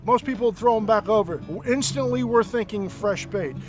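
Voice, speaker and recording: High-voiced, energetic fishing guide. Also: male voice, a little fast, over music. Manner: energetic, chatty, explains step by step. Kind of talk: instructional fishing tips. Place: on a boat offshore, outdoors.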